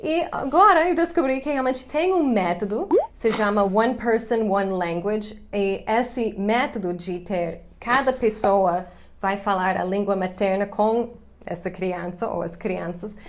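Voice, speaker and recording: A woman's voice: medium pitch, measured pace. American woman chatting in Portuguese. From United States, Chicago. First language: English. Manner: chatty, laughs easily, speaks Portuguese with English asides. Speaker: YouTube English teacher and host. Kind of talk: casual chat on camera.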